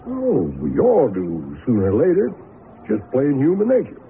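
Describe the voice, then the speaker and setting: Gravelly old farm dad, animated folksy radio drama delivery, tinny recording. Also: male voice, mid-range voice, moderate pace, a little hiss or hum. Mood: folksy, easygoing. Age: older. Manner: folksy, hesitant. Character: folksy aging farmer father, easygoing but anxious.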